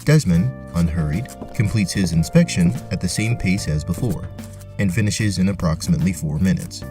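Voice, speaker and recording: A man narrating a scripted tabletop fantasy adventure in a deliberate, theatrical way. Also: deep voice, animated, over music. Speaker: narrator and game host.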